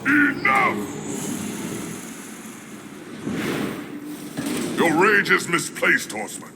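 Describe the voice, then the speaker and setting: A man playing a stern, proud, accusing angel warrior with a gravelly voice in a video game cutscene. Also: high voice, huge pitch swings, a little slow, over loud music, full character voice. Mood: commanding anger. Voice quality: creaky, gravelly.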